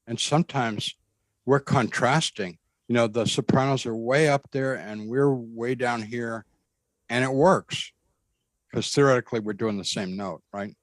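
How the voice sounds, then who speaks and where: A man with a bass voice, a guest earnestly recounting a spiritual experience in conversation, often repeating himself. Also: unhurried, no room sound. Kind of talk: conversational storytelling.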